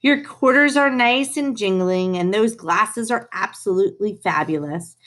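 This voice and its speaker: A woman's voice: medium pitch, measured pace, fairly bright tone, close to an everyday voice. Lady reading as a kind storybook teacher guy, super encouraging, lifts up on the important words. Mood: upbeat, encouraging. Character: kindly, enthusiastic male schoolteacher in a storybook.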